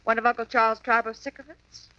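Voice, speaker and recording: A woman's voice: a little high, level tone, fast, sharp tone. An actress playing a dry, sardonic young woman in a 1950s radio drama. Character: sardonic young woman, a poor country cousin. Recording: dull lo-fi 1950s radio broadcast.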